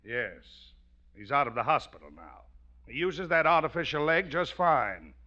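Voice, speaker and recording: An actor playing a reasonable, earnest factory boss in a 1940s radio sitcom, measured and explanatory. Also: male voice, on the high side, very animated, sharp tone. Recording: dull 1940s radio recording.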